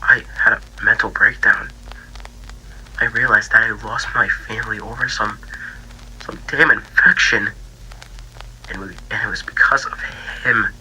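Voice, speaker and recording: A man voicing a bitter, grieving young pig character in a halting, stammering interview for an analog horror fan drama. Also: fairly low pitch, steady tone, pushed and bright, slight background noise, rough recording. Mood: grieving, distraught. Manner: halting, stammering, repeats himself, trailing off. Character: grieving, bitter young male cartoon pig, infection survivor.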